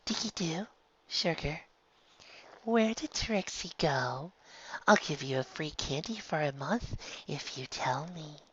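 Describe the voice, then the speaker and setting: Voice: medium pitch, animated, slow, slightly rough, highs cut off, voice pushed up. A narrator voicing a furious female pony mare who can turn to sweet coaxing, in a storybook fan fiction.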